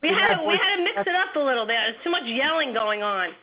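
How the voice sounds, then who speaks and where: A woman's voice: sits high, very animated, fast, over a noisy crowd. A woman calling in to a talk show, joking and teasing the hosts. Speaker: caller. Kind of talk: phone call to a radio show. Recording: noisy tinny phone line.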